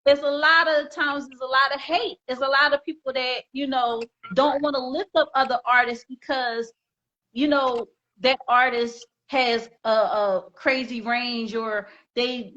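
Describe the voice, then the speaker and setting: A woman's voice: high voice, fairly even intonation, unhurried, pushed and bright, highs cut off. A young woman from Philadelphia, a singer hosting an interview, talking emphatically and often repeating words as she builds a point. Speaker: host and singer. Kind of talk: casual interview chat.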